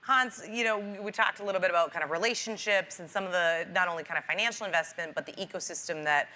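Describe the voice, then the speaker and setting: A woman moderates a business panel, asking questions in a conversational way and softening them with "kind of". Her voice sits lower than most women's but sounds bright and forward. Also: fast talker.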